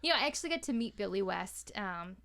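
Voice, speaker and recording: An American woman from Maine talking in an informal, animated, slangy way as a podcaster. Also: mid-range voice, fast, creaky. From Maine, USA. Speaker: podcast guest, podcaster and voice actor. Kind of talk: casual interview chat.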